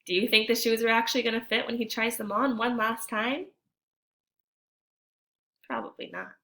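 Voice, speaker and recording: Bright-voiced woman reading to children. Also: fairly high pitch, moderate pace, a little hiss or hum. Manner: expressive, addressing young listeners with guiding questions. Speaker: teacher reading aloud to children. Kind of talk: animated children's storybook read-aloud with questions to listeners.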